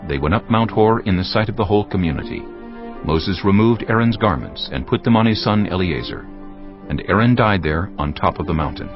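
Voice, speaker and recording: A man reads scripted scripture narration in a very deep voice. He is solemn and measured yet widely inflected, with music clearly behind him. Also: muffled recording. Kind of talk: scripted audio Bible narration.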